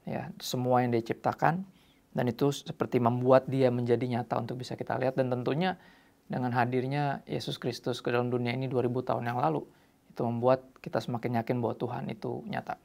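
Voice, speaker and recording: Man hosting, with gravelly creak. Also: medium pitch, flat delivery, a little fast, a little mellow. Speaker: host. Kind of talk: casual youth religious show presenting.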